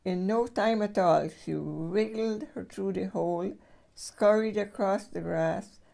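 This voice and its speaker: An older woman reading a children's storybook aloud as a great-grandmother, with expressive storyteller phrasing. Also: medium pitch, takes it slowly, vocal fry.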